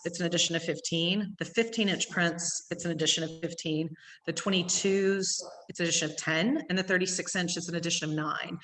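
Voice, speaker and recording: A woman with an East Texas accent, a gallery owner giving a quick, chatty tour full of ums. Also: on the low side, bright, forceful tone. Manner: fast-talking with many ums. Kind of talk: virtual gallery tour talk. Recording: long hall reverb.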